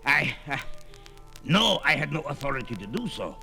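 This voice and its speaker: Creaky, piercing old wizard. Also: male voice, fairly high pitch, very animated, sharp tone, exaggerated voice. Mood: sheepish. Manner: slow, theatrical delivery. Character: wily, weary old wizard and father, feeble yet powerful. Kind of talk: fantasy audio drama acting. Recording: reverberant 1970s record with music.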